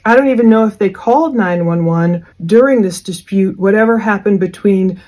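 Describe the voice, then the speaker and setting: A woman's voice: mid-range voice, normal speed, darkish tone. A woman hosting a true crime channel, talking through a case in a casual, earnest, rambling way.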